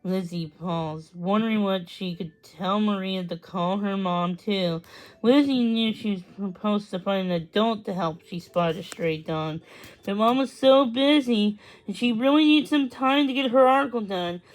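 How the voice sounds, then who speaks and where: A woman's voice: medium pitch, unhurried, poor audio. A woman narrating a children's story as a read-aloud. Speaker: storybook reader.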